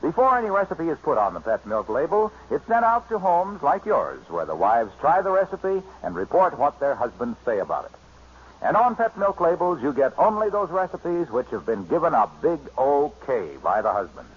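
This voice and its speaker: A man's voice: a little high, very animated, moderate pace, breathy edge. A man with an American accent reading scripted commercial announcements as a 1950s radio announcer. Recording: muffled 1950s radio recording.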